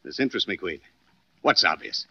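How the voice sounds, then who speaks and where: A man's voice: deep voice, animated, a little fast, bright, forceful tone, dry recording, heavily acted. A man acting in a 1940s radio drama as a rude, fussy, domineering rich man who barks and sneers. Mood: smug. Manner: blustering, barking orders, sneering. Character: rude, imperious, fussy rich male diamond owner. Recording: dull old 1940s radio recording.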